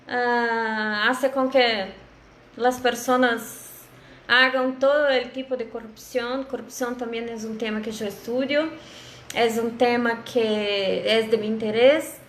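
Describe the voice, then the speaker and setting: A Brazilian woman with a Brazilian Portuguese accent, a Portuguese native, speaks slowly and hesitantly in a fairly high voice, filling pauses and restarting words. She is heard over a video call in a roomy, echoey space with faint hiss behind her. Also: fairly bright tone. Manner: halting, many fillers and restarts. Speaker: guest lecturer. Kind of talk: online lecture greeting.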